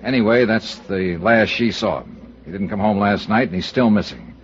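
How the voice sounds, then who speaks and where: Low-voiced, dry American investigator played by a man. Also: moderate pace, slight background noise, close to an everyday voice. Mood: dry, probing. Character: cool, dry-witted male investigator. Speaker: lead actor in a radio detective drama. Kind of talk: old-time American radio acting. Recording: muffled old radio broadcast recording.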